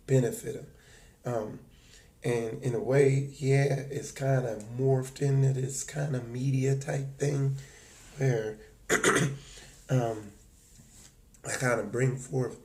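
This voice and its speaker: A man hosting a livestream in casual, rambling chat, his voice raspy and hoarse from just waking up, talking in halting, earnest stretches. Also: mid-range voice, dark tone. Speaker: livestream host.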